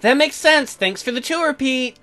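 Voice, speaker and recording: A man's voice: very high voice, lively intonation, unhurried, bright, forceful tone, soft background music, pitched above the performer's own voice, exaggerated voice. A man voicing a perky young colonist wife in a visual novel, chatty and curious. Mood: friendly.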